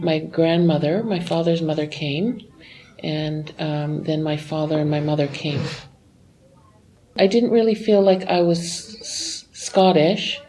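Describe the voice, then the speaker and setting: Woman, teacher, low voice, gravelly, dark tone, chatty. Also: low-quality recording. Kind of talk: casual interview.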